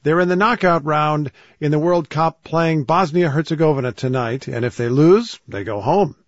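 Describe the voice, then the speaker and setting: A man hosting a radio news program, asking brisk, conversational questions. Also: a little high, dry recording, highs cut off.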